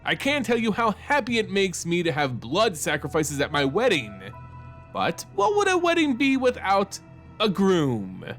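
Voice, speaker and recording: A man's voice: high voice, huge pitch swings, unhurried, fairly bright tone, faint music behind, heavily acted. A man voicing a gleeful, grandiose vampire bride who relishes bloodshed, theatrical and grandly mocking, in a fantasy story reading.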